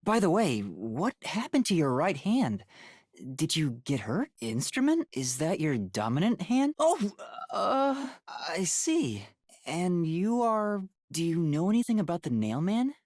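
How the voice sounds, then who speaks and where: Young trainee detective, timid, earnest, breathy, very animated pitch, polite and hesitant, anime game dialogue, dry close sound. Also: male voice, unhurried, no room sound, full character voice. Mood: polite, inquiring. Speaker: voice actor in a detective video game. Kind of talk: English anime-style game voice acting.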